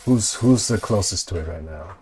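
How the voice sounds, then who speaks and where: A man acting as game master, improvising narration of a tabletop horror game in halting, repetitive phrasing. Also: low voice, expressive, a little mellow.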